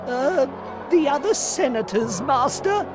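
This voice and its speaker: A man's voice: very high voice, expressive, slow pace, music in the background, full character voice. An actor playing a timid, dim, obedient male henchman in a cartoon game, hesitant and subservient. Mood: meek.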